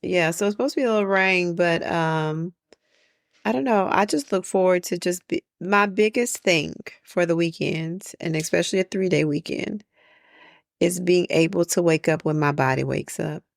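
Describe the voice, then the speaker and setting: A woman's voice: lowish voice, normal speed, creaky, dry recording, studio quality. An American woman with a folksy, country-sounding way of talking, bantering casually as a podcast co-host with her husband.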